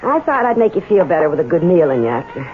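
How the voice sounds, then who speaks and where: An actress playing a patient, caring working-class housewife with a homely, soothing manner in a 1970s radio drama. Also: female voice, lowish voice, very animated, fast talker, noisy background. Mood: homely, welcoming. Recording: dull, lo-fi old radio broadcast recording.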